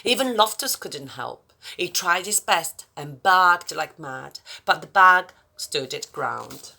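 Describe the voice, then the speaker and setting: Woman reading a children's story, creaky low voice. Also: takes it slowly. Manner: expressive, addressing young listeners directly. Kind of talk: read-aloud children's story with chatty asides.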